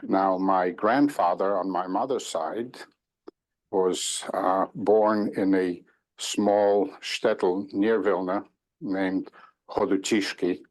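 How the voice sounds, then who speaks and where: An older man giving a slow, measured oral history testimony in an archival recording played back in a room. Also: sits low, fairly even intonation, a little mellow, rough recording. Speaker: Holocaust survivor giving testimony.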